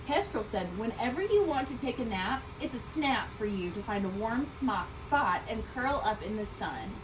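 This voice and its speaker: A woman reading a children's story aloud, expressively, with deliberate emphasis on key words. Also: a little high, sing-song. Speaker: storybook reader. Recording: distant mic, loud background ambient noise.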